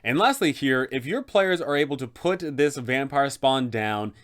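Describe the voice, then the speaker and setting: A man's voice: medium pitch, lively intonation, moderate pace, fairly bright tone. A man hosting an explanatory guide for game masters, addressing the viewer directly and posing rhetorical questions. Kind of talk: explanatory video narration with advice for game masters.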